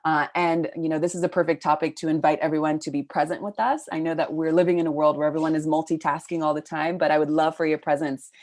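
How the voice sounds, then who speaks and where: A woman from California hosts a live online session. Her voice is very low for a woman, and she talks quickly. She keeps the flow brisk and fills the gaps with fillers. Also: steady tone, a little mellow. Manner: brisk, filler-heavy hosting. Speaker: webinar host. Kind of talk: live webinar hosting.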